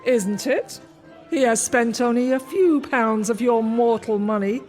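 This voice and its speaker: Woman doing a grave spirit, commanding and slow, long echo effect, soft music behind. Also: fairly high pitch, close to an everyday voice. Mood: solemn. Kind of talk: radio drama acting. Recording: reverb effect on the voice.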